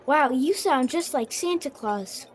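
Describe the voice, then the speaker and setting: A woman playing an excitable, polite seven-year-old boy in a radio play. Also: high voice, unhurried. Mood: excited.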